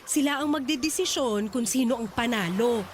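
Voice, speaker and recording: A woman's voice: fairly high pitch, moderate pace, a little hiss or hum, lightly acted. A woman playing a bossy, pushy stage mother. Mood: coaxing. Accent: Filipino. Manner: bossy and insistent, scolding. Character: pushy, domineering middle-aged stage mother. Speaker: voice actor. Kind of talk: radio drama acting.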